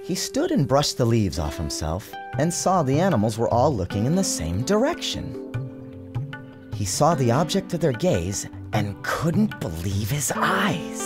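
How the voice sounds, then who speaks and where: A man giving a measured, theatrical storybook narration in an expressive, dramatic style. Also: fairly high pitch. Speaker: author reading his book aloud. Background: music behind the narration.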